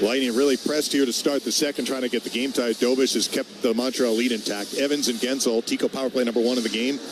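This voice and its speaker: A man calling live hockey play-by-play on the radio, naming each move in clipped, rapid-fire phrases. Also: mid-range voice, fairly even intonation, noisy background. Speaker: play-by-play announcer.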